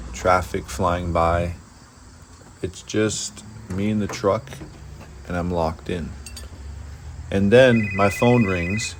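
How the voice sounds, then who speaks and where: Deep-voiced man narrating his own story. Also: background noise. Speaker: diesel mechanic telling his own story. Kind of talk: first-person storytelling narration.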